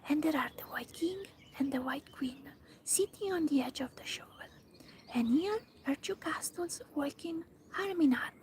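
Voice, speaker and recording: A woman's voice: high voice, expressive, moderate pace, a little hiss or hum. A woman whispering the lines of a curious, polite young girl in an ASMR storybook reading. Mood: hushed wonder.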